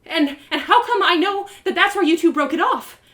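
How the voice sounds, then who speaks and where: A woman acts out a young fantasy heroine with a high, very lively voice. She pleads urgently and stubbornly, tripping over repeated words. The delivery is that of an excitable storybook character. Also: moderate pace. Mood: desperate, pleading. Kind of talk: storybook character voice in a live reading.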